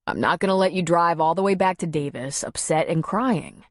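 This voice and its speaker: A woman reading a storybook, voicing a weary man who speaks in a lowered, measured and soothing way. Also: lightly acted. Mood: gentle. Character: weary man, calm and gentle.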